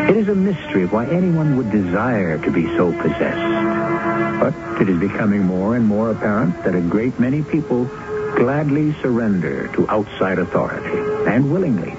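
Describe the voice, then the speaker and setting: Solemn low-voiced male radio host. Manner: measured, solemn, philosophical. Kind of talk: scripted radio drama host narration. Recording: dull old radio broadcast, loud music.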